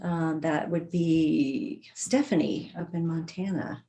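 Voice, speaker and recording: A woman co-hosting a livestream, reading out viewers' names and places in a steady listing cadence. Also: sits low, slow pace. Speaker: co-host reading viewer shout-outs. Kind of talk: casual livestream chat. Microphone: video call.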